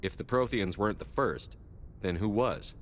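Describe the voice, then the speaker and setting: An actor playing a cool-headed, firm military commander in a video game, terse and direct. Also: male voice, on the low side, moderate pace, slight background noise, natural voice. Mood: curious, direct. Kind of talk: video game dialogue acting.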